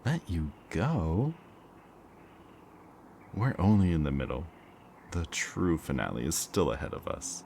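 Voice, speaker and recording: A man playing a smug, taunting kidnapper villain in an audio roleplay, with a mocking, theatrically drawn-out delivery. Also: a bass voice, animated, gravelly, a little mellow, a little hiss or hum, exaggerated voice. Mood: cold, threatening. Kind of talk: scripted audio roleplay, ASMR.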